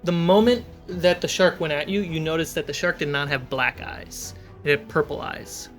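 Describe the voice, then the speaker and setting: Game master for a tabletop fantasy campaign, medium pitch, music underneath. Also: moderate pace, a bit bright.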